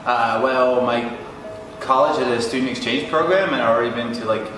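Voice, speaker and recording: A man's voice: mid-range voice, a little fast. A young man from Holland, giving casual interview answers in English. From Netherlands. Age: young adult. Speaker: exchange student. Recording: very echoey, distant, music behind. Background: background music.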